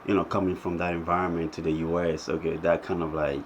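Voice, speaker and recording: A male interview host from West Africa who asks questions in a halting way with many fillers. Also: deep voice, flat delivery, dull audio. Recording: faint background noise.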